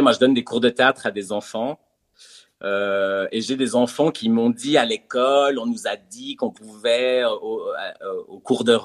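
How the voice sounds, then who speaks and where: Hesitant man in casual talk. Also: mid-range voice. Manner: hesitant, many fillers and repeated words. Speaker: comedian and theatre teacher. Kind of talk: casual conversational interview.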